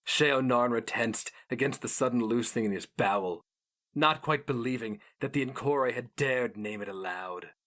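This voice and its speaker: A man narrating a fantasy story as a dramatic reading, grave, measured and theatrical. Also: medium pitch, fairly bright tone. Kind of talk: dramatic scripted fiction narration.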